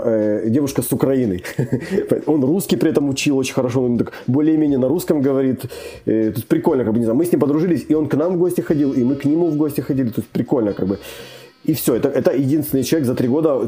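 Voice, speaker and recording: Young male guest, casual and rambling, quick delivery, very dark timbre. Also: medium pitch. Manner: rambling, many fillers and restarts. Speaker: immigrant guest. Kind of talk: casual conversation.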